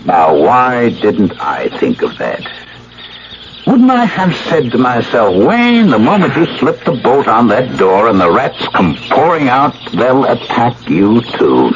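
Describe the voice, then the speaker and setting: Wildly swooping, gloating mad husband. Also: male voice, mid-range voice, wildly swooping pitch. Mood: mocking, sadistic. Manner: theatrical, mocking mock-politeness, drawn-out menace. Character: crazed, vengeful jealous husband, gloating sadistic villain. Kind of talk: 1970s radio drama acting. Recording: noisy, dull old radio broadcast.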